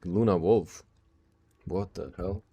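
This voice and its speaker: A man's voice: sits low, takes it slowly, slightly rough, no room sound. A man from Czechia with a Czech accent, chatting casually with viewers during a live game stream, reading their messages aloud and mumbling short asides. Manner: relaxed, mumbling asides, repeats short phrases.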